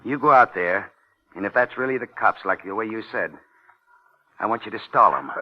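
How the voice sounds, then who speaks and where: An actor playing a cocky, restless, sardonic gangster in a 1950s radio drama. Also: male voice, fairly low pitch, moderate pace, close to an everyday voice. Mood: coolly commanding. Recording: dull vintage 1950s radio recording.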